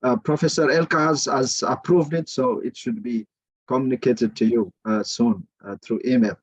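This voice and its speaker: A man moderating an online forum over a video call, courteous and halting with many filler pauses. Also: mid-range voice, fairly even intonation, husky, no room sound, poor audio.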